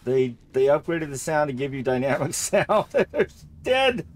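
A man's voice: a little high, big pitch swings, unhurried, a little hiss or hum. A man giving casual Let's Play commentary on a horror game, rambling and thinking aloud as he plays, often repeating himself. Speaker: gamer narrating a horror game playthrough.

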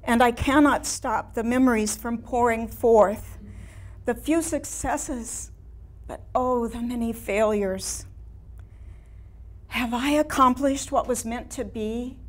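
A woman in her sixties playing an ailing, reflective elderly woman painter in a staged dramatic monologue, with theatrical, measured delivery and long pauses. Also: a little high. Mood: weary, anguished. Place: small indoor room before a seated audience.

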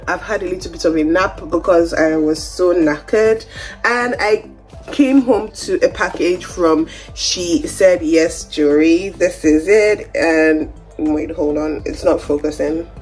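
A woman's voice: fairly low pitch, a little mellow. A young woman, chatting upbeat to viewers in a casual vlog from her kitchen. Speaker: vlogger. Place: home kitchen indoors. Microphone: phone camera held close.

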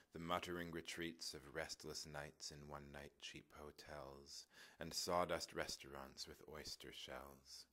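Very deep-voiced man reciting poetry. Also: fairly bright tone. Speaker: poetry reader.

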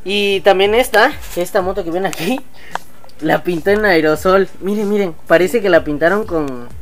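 A man's voice: high voice, moderate pace, a little hiss or hum. Chatty Mexican man vlogging outdoors. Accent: Mexican Spanish. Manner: chatty, slangy, addresses viewers directly. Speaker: motovlog host. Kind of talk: casual vlog chat. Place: outdoor workshop yard.

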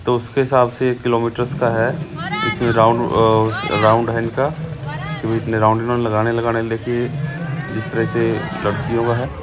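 A man's voice: mid-range voice, monotone, normal speed. A man casually narrating a vlog outdoors at a street race, talking loosely about what he sees. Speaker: vlogger. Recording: loud music over the voice.

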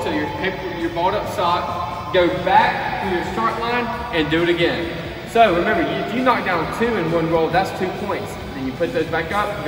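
A high-voiced young man runs a timed bowling drill and calls out his score while moving. He is heard from across a large gym with heavy, lingering reverb, and music plays behind him. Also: recorded from a distance. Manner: energetic, counts and keeps score aloud while moving. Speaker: PE coach. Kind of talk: home PE lesson demonstration. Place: large school gym, indoors. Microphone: far camera. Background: background music.

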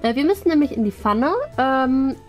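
A woman's voice: high voice, normal speed, a little mellow, faint music behind. A young woman co-hosting a DIY video, chatting casually and explaining cooking steps in a kitchen. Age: young adult. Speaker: host of a DIY video channel. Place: indoors, studio kitchen.

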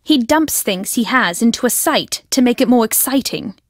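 A woman playing a secretive, fervent guardian of her family's destiny in a video game, speaking solemnly and deliberately. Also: a little high, lively intonation, no echo, clean studio sound. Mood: guarded, firm.

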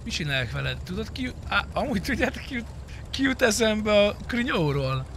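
A man's voice: high-pitched, sing-song, noisy background. A man chatting casually and crudely, with lots of swearing, while streaming a roleplay video game.

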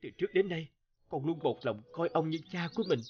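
An actor playing a humble, devoted young scholar in an animated drama, earnest and deferential, full of feeling. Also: male voice, on the high side, a little fast, muffled recording, lightly acted. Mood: pleading, respectful. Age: young adult.

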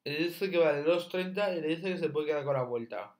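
A young man hosting a reaction video, mocking with loud repeated exclamations as he comments on clips from his room. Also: fairly high pitch, a little fast, noisy background.

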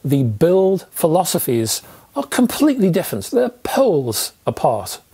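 A middle-aged British man presents a review to camera. His voice sits at an average male pitch, and he speaks in measured, descriptive sentences. Key phrases get deliberate emphasis. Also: darkish tone, breathy edge. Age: 50s. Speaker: product reviewer and host. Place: indoor room with record shelves.

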